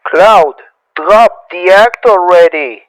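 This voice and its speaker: A man plays a young wife and mother, worn down and pleading, in a slow, high voice that speaks in drawn-out lines. Her words sound dry and close, with faint music beneath them. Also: a bit bright, dry recording, rough recording. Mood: accusing. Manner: pleading, emotional, scolding. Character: young woman, worried, fed-up wife and mother.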